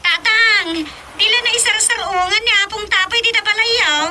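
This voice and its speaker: A woman's voice: very high voice, normal speed, a little hiss or hum, exaggerated voice. A woman playing a whiny young daughter who protests to her father in a radio drama. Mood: upset, complaining. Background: loud music.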